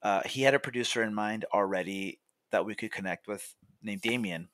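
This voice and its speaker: A man's voice: on the low side, a little fast, a bit bright, no room sound. A young American man talking in a chatty, casual storytelling way as a podcast guest, peppering his talk with "like" and "you know". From Monrovia, California. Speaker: podcast guest, coffee roaster.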